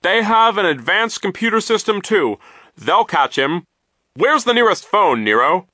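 A flustered middle-aged man in a storybook, acted out by a male reader. The voice is lifted and piercingly bright, exclaiming earnestly, with a dry close sound. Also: high voice, very animated, measured pace, dry recording, voice pushed up, exaggerated voice. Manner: gasping, exclaiming.

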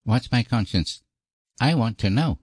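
A man's voice: mid-range voice, expressive, a little slow, slightly rough, no room sound, clean studio sound, pitched above the performer's own voice. A man voicing a guilty, eager little boy in a storybook, childlike, earnest and confessional. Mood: curious, earnest. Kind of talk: storybook character voice.

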